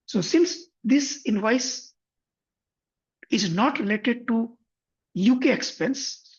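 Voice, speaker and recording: A man guides viewers through a software tutorial in a husky, slightly breathy voice. He moves step by step and rephrases as he goes. The recording sounds dull and lo-fi. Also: high-pitched. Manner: methodical, step-by-step, frequent self-corrections. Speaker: software instructor. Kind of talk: screen-share tutorial explanation.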